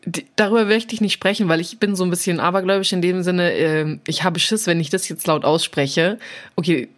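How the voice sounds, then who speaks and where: A woman in her twenties, a guest on a casual podcast, talking in a relaxed, easygoing way. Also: fairly low pitch, brisk. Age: 20s. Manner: relaxed, laughs easily, casual. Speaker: podcast guest, former radio presenter.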